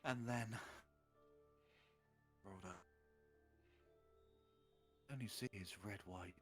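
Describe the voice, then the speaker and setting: A man's voice: on the low side, slow pace, a bit bright. A man doing a comedic dramatic reading of fan fiction on a livestream, theatrical and mock-serious. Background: faint music.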